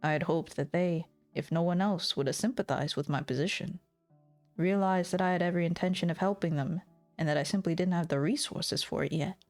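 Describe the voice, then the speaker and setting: A woman voicing a weary, embittered, ambitious lord who narrates the story in a measured noir manner. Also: fairly low pitch, darkish tone, soft background music, pitched below the performer's own voice, lightly acted. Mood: weary, resolute. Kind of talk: scripted dramatic narration.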